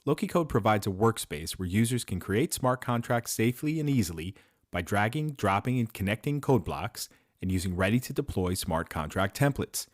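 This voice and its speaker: A man's voice: fairly low pitch, lively intonation, moderate pace, no echo, clean studio sound. A man hosting and reading scripted introductions of guests on a podcast. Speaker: host introducing startup founders.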